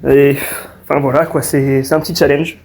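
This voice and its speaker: A young man giving an informal, halting explanation as a fan dub creator, answering questions. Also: mid-range voice, little pitch movement, very noisy. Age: young adult. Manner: hesitant, halting delivery. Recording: faint music behind.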